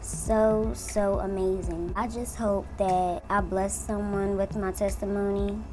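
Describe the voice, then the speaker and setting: A woman's voice: medium pitch, flat delivery, takes it slowly, music in the background. Earnest chatty woman in her twenties. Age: 20s. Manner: chatty, earnest, addresses viewers as y'all. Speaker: beauty vlogger. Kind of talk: casual testimony while doing makeup.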